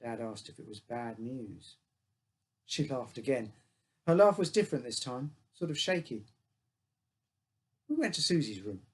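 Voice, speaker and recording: A man's voice: medium pitch, darkish tone. A man reading his own short story aloud as a first-person narrator, in simple childlike phrasing.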